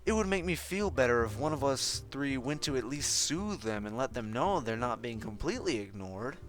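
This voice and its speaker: A kindly young princess mare from a pony storybook, read out by a man, earnest and full of concern. The delivery moves freely in pitch, and music plays clearly behind. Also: lively intonation, moderate pace, fairly bright tone, close to an everyday voice. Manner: earnest, worried.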